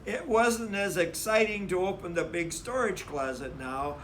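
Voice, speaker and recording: Male storyteller for a kids' picture book: forceful, unhurried, deliberate delivery, slight hiss of noise behind. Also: high-pitched. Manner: emphatic, deliberate, acts out dialogue. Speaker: read-aloud storyteller. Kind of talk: expressive children's picture-book reading.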